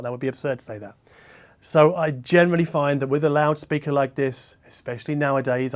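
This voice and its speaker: A man talking through an audio gear review in a conversational, thoughtful way, wandering a little and leaning on key words for emphasis. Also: mid-range voice. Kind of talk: conversational product review to camera. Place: smallish listening room.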